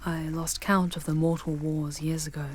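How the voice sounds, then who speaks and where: A woman's voice: sits low, level tone, normal speed, darkish tone, a little hiss or hum, lightly acted. A woman voicing a proud, sardonic, protective female demon in an ASMR audio drama, speaking with haughty command and dropping into whispers. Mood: solemn, soothing.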